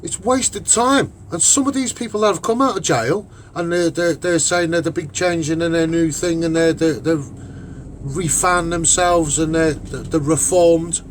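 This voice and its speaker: A man with a Scouse accent and a husky voice giving an off-the-cuff, opinionated monologue, emphatic and rambling, often repeating his phrases. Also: on the high side, normal speed, a little hiss or hum. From Liverpool, England. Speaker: online broadcaster and activist.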